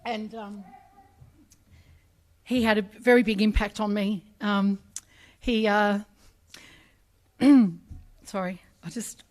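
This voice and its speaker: A woman's voice: medium pitch, little pitch movement, takes it slowly, long pauses. A middle-aged Australian woman, raised speaking Arabic, giving a chatty, emotional personal speech at a public ceremony, acting out voices in her stories.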